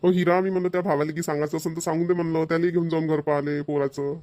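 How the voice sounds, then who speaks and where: A man's voice: fairly high pitch, little pitch movement, racing, a little mellow, no room sound, clean studio sound, natural voice. A man voicing a middle-aged village father in a cartoon, grumbling and repeating himself in rural dialect. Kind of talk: cartoon voice acting.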